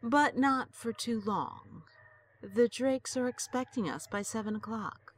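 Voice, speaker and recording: An actress playing an elderly grandmother and wife, gentle and earnest, in an audio drama. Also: on the high side, expressive, unhurried. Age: older. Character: elderly grandmother, lonely and worried.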